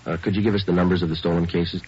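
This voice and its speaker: An actor playing a stoic, businesslike police sergeant in a 1950s radio crime drama, clipped and matter-of-fact. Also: male voice, deep voice, little pitch movement, racing, darkish tone, breathy edge. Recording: dull old radio recording.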